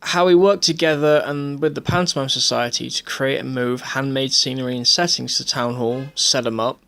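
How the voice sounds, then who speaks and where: A man's voice: mid-range voice, gravelly, a bit bright. A young adult man, narrating a documentary from a script.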